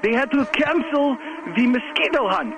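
A man's voice: very high-pitched, animated, normal speed, muffled recording, noisy background. A man voicing a nine-year-old Russian girl on the phone, with a fake Russian accent that keeps slipping. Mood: deadpan.

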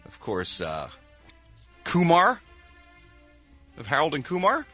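Animated man giving a showbiz report. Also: on the high side, slow pace, faint music behind. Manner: animated, jokey, riffing. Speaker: entertainment news reporter on radio.

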